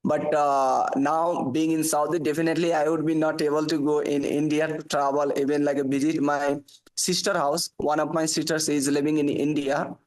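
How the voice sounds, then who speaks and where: A man from Nepal with a Nepali accent, talking in a casual interview, halting with many fillers and restarts. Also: a little high, level tone, poor audio. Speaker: guest, English learner. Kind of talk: casual conversation. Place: working abroad.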